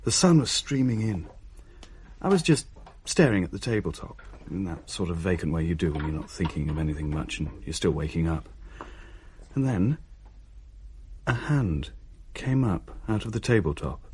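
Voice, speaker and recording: A man's voice: deep voice, expressive, normal speed, pauses often, darkish tone, breathy edge, heavily acted. An actor playing a young man who tells of things in a hesitant, descriptive way in a naturalistic radio play. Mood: reflective. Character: uneasy younger man recounting a ghost sighting.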